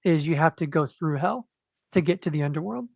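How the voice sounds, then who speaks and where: A man speaking as a guest comic book creator in a casual interview, monotone and hesitant, with many fillers and restarts. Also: on the high side, fairly quick, gravelly, no echo, clean studio sound.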